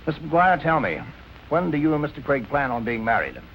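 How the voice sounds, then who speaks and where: An actor playing a calm police captain in 1940s film noir style, questioning in a measured, politely insistent way. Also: male voice, on the high side, creaky, slight background noise, full character voice, rough recording.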